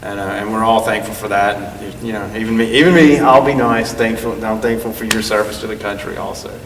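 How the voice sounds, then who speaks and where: A man hosting an interview, asking questions and chiming in with quick, joking remarks. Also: fairly low pitch, fairly bright tone, distant mic. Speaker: host. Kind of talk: casual interview. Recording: echoey room heard from afar with faint noise.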